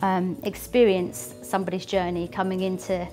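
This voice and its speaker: A young woman, an interior design student, explaining her design proposal thoughtfully, pausing with ums, in an ornate synagogue hall. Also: fairly low pitch, little pitch movement, warm tone, faint music behind.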